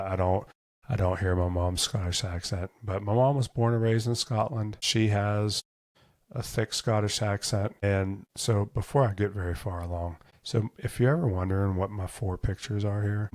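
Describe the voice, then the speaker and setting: Low-voiced rambling American man in his 50s. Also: darkish tone, dry recording, clean studio sound. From United States. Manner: rambling, casual, with frequent self-corrections. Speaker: show host. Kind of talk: solo reminiscing monologue.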